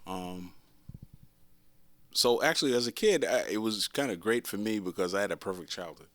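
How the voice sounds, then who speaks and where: Older man from the Boston area, low pitch, dry close sound, easygoing panel storyteller. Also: normal speed, no echo. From Boston area, Massachusetts. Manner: relaxed, chuckles while telling stories. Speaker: panel guest. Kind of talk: casual storytelling.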